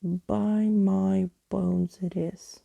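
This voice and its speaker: A woman puts on an old skeleton gentleman from a children's storybook. He is quaint and dramatic, speaking slowly in a dark, mellow, slightly husky tone. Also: medium pitch, level tone, dry recording, full character voice. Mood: alarmed.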